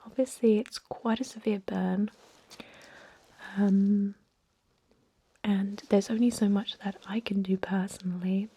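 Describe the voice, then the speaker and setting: A woman voicing a kindly, chatty old vampire medic in a gentle, breathy whisper for an ASMR role-play. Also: medium pitch, steady tone, slow, pauses often. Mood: reassuring. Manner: gentle, rambling, reassuring bedside talk. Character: kindly, chatty, aged female vampire medic, pureblood purist.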